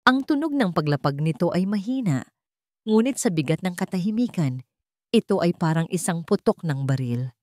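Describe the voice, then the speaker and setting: Female Filipino narrator for dramatic fiction, slightly low voice, dry recording. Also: lively intonation, moderate pace, darkish tone, no echo. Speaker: story narrator. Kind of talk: dramatic scripted story narration.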